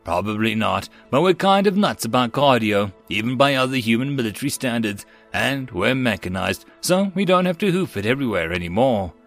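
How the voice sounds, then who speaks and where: Rich: A brash female Marine with boundless energy, teasing and grinning through every line, as a man puts her on in a storybook reading. The voice swings up lively and high above his own, with soft music far behind. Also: a little fast, few pauses, natural voice. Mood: cheerful.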